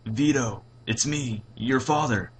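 A man's voice: medium pitch, takes it slowly, slight background noise, lightly acted. A man voicing a concerned father, earnest and anxious, in a narrated story. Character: concerned father, firm but gentle, anxious.

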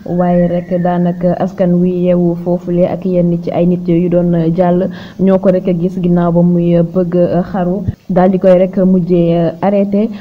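A woman with a Senegalese accent presenting news narration, with a halting delivery and frequent hesitant pauses. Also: on the low side, monotone. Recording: dull lo-fi sound with clear noise.

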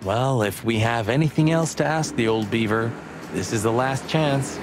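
An actor playing a cocky rooster detective sidekick in a film noir game, sardonic and playful. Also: male voice, medium pitch, moderate pace, natural voice. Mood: teasing. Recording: music behind the voice.

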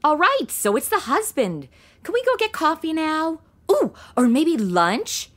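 Comedy audio drama: flippant, slangy young woman detective sidekick, very animated intonation. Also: sits high, measured pace. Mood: sassy. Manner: slangy valley-girl talk, sarcastic.

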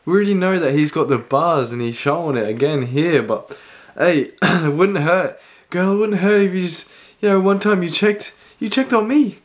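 Guy with a cold doing a song reaction, bit hoarse, fairly high voice, just chatting. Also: moderate pace. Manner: chatty, talks over the song with short reactions. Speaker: reaction channel host. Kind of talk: casual music reaction chat.

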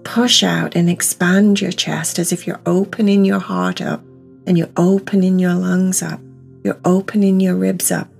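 A woman's voice: lowish voice, little pitch movement, a little slow, vocal fry, darkish tone, slightly rough. A woman guiding a meditation in an instructional, soothing and encouraging manner, stressing words by repeating them. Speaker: meditation guide. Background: music.

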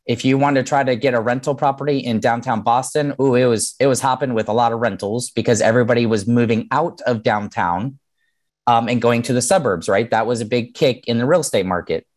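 A man's voice: mid-range voice, a little fast, a little mellow. A man in his thirties talks through an online seminar from a home office, heard over a video call. His delivery is conversational and explanatory, with frequent "um" and "right?" as he checks in with the audience. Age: 30s. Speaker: mortgage lender teaching a webinar.

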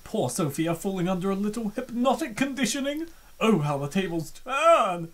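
A woman giving excitable, theatrical, joking reaction commentary as narrator of a comic dub. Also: medium pitch, moderate pace.

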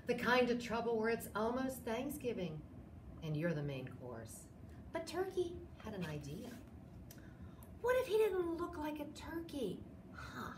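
A woman in her sixties hosting a children's storytime, reading a picture book expressively with drawn-out dramatic phrases. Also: lowish voice. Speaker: library storytime host. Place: small indoor room, seated. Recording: roomy echo, clear background noise.

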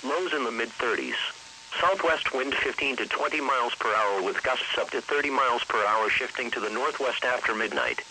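A computer-generated man's voice delivers a formal weather bulletin. The tone is very bright and sharp, at a medium pitch. It comes over a radio broadcast with a faint hiss behind it. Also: normal speed, low-quality recording. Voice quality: synthetic text-to-speech voice. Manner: formal, impersonal announcing. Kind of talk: scripted weather bulletin reading.

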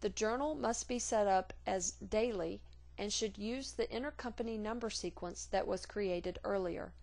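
A woman reading scripted instructional narration for a software tutorial in a measured, formal, neutral manner. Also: medium pitch, vocal fry.

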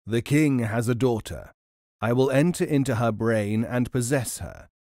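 A man's voice: mid-range voice, measured pace, a little mellow, no room sound, clean studio sound, natural voice. A man voicing a scheming, hot-tempered genie in a storybook, with a commanding, theatrical delivery. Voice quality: roaring when angered.

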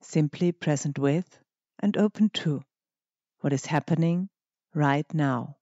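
A meditation guide speaking scripted instructions in a gentle, measured manner with deliberate pauses. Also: medium pitch, no room sound, highs cut off.

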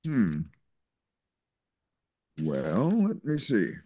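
An older man reading a gentle, hesitant reverend. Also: medium pitch, wildly swooping pitch, extremely slow, no echo, full character voice. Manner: hesitant, gentle, many fillers. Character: kindly older male reverend. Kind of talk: Zoom play reading. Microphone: video call.

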